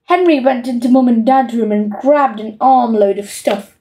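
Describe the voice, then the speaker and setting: A woman reading a children's audiobook as an expressive storyteller. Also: a little high, moderate pace. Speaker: audiobook reader.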